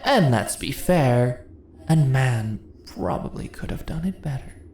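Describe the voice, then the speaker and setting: A woman playing an ancient, seductive goddess in an ASMR fantasy roleplay, speaking in a theatrical, deliberate, condescending yet coaxing manner. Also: rumbling low, expressive, mellow, exaggerated voice. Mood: stern, persuasive. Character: ancient seductive goddess, imperious, teasing, persuasive. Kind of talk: ASMR fantasy roleplay, intimate dramatic monologue. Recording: roomy echo, audible ambient noise.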